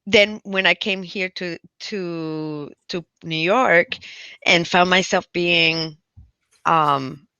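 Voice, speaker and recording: A woman's voice: fairly low pitch, steady tone, very slow, sharp tone, smooth, no room sound, highs cut off. A middle-aged woman with a Puerto Rican accent, speaking thoughtfully as an interview guest, often restarting her phrases. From Puerto Rico. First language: Spanish. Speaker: guest scientist. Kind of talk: reflective interview conversation.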